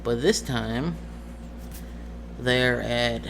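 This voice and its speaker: A man talking as game master, explaining a tabletop game scenario casually in a halting, self-correcting way. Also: medium pitch, gravelly, noisy background.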